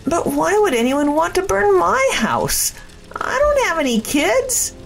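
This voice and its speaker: A woman's voice: very high-pitched, very animated, measured pace, creaky, heavily acted. A woman acting in an audio drama as a shaken, grateful neighbourhood woman rescued from a fire. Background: faint background music.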